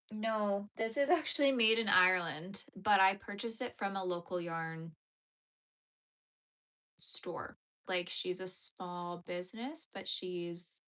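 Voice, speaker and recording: A woman in her early thirties talks casually as she knits. Her speech rambles and is full of fillers, at an everyday middle pitch. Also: pushed and bright. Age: 30s. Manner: rambling, chatty, lots of fillers. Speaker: knitting channel host. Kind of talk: casual chat while knitting.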